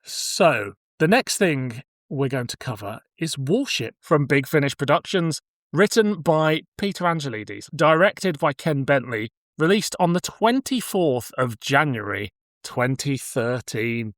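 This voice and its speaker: A man's voice: mid-range voice, big pitch swings, a little slow, fairly bright tone, no echo, studio quality. A man speaking as a podcast host, chatting casually and introducing items for review.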